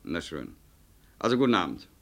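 An actor playing an officious but familiar chief inspector in a radio play, giving brisk instructions as if on the telephone. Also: male voice, low-pitched, huge pitch swings, vocal fry, a bit bright, full character voice. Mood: officious, cautioning. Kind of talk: German radio play acting.

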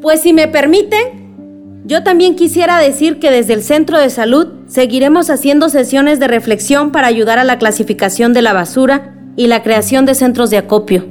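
A woman's voice: sits high, normal speed, a little mellow, soft background music, close to an everyday voice. An actress playing an outspoken village woman in a radio drama. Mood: composed.

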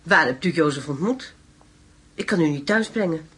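An actress in a radio drama playing an anxious, protective wife with a curt, guarded manner. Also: female voice, low voice, animated, normal speed, fairly bright tone, breathy edge, exaggerated voice.

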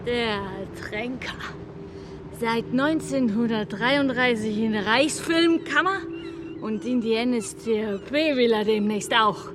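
A man's voice: extremely high pitch, takes it slowly. An actor playing a drunken, defiant man in a German radio drama, declaiming coarsely with fervour. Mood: bitter, vengeful. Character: drunken, defiant male actor raging against tyranny. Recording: noisy open-air ship deck ambience.

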